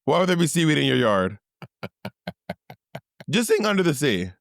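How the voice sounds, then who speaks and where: Lively, blunt man with a higher voice. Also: moderate pace, vocal fry, dry recording, clean studio sound. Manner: teasing, blunt, animated. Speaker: podcast co-host. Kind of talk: casual banter.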